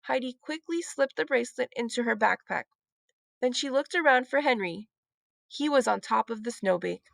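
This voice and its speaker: A woman reads a children's story aloud as narrator. Her voice is notably high, with a creaky edge. The recording is close and dry, with little room sound. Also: lively intonation, normal speed, dry recording.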